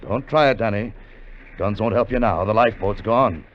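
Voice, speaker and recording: A man plays a calm, shrewd seafarer in a radio adventure. His voice is on the low side, firm and measured with cool menace. The old recording is muffled and noisy. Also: close to an everyday voice. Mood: firm, threatening. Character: calm, shrewd, unyielding seafaring partner turned accuser. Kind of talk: 1950 radio drama acting.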